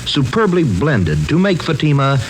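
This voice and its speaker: Lively American male vintage radio pitchman. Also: moderate pace, low-quality recording. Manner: polished, emphatic pitch. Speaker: commercial announcer. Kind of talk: 1950s radio cigarette advertisement. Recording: hissy vintage radio recording.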